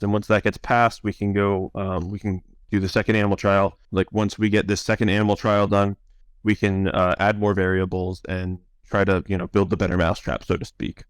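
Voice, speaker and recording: Male science officer for a Q&A call: low, crisp voice, informal, measured explanations, close dry sound. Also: no echo. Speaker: chief science officer answering donor questions.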